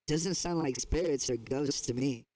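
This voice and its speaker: A man's voice: mid-range voice, normal speed, dark tone, slight background noise, natural voice, poor audio. A man acting as a skeptical scientist in an audio drama, questioning and challenging in a conversational way. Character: skeptical, probing scientist colleague.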